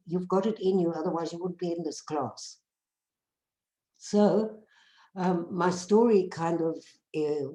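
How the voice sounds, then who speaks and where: Older South African woman, low mellow voice, chatty storyteller on a video call. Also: low-quality recording. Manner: conversational, repeats phrases while telling anecdotes. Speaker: guest speaker. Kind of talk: storytelling talk to a class.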